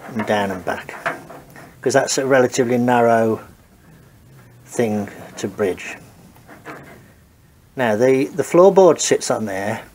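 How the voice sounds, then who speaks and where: Hobby restorer, man, mid-pitched, nasal from a cold, muttering through his work near a handheld camera in a garage. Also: a little hiss or hum. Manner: hesitant, thinking aloud while working. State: congested from a cold. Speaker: home car restorer. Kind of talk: casual workshop walkthrough. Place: home garage workshop.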